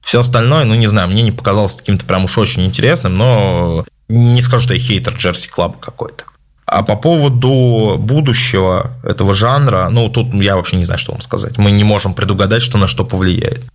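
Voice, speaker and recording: Man, fairly low pitch, casual Q&A host, measured opinions, lots of hesitant fillers. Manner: hesitant, full of drawn-out filler sounds. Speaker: host answering viewer questions. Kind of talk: casual spoken Q&A.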